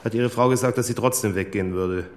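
An actor playing a controlled police lieutenant in a radio drama, putting persistent, matter-of-fact questions. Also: male voice, lowish voice, quick pace, natural voice. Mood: probing. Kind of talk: naturalistic radio drama acting.

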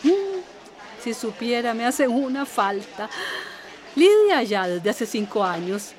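Weary, plaintive older woman, high-voiced. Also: big pitch swings, slow. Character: weary, worried older guardian woman with grown daughters. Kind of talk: radio drama acting.

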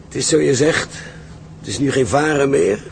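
A man plays a seasoned, commanding seaman in a radio drama. His voice is gravelly and mid-pitched, his manner blunt and philosophical, with faint noise underneath. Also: moderate pace, lightly acted. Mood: grave, encouraging. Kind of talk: Dutch radio drama acting.

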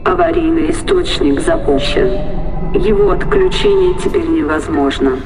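An actor playing the obedient computer voice of a spaceship in a science fiction audio drama, clipped, formal and procedural. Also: male voice, very high-pitched, huge pitch swings, normal speed, loud music behind the voice, heavily acted, rough recording. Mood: impassive, formal.

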